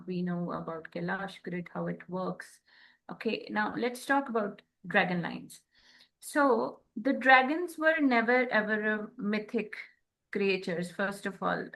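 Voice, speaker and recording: Indian woman explaining spiritual stuff, really unhurried n halting, keeps checking you follow. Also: mid-range voice, fairly bright tone, poor audio. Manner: deliberate, halting, punctuates points with check-in questions. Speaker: co-host.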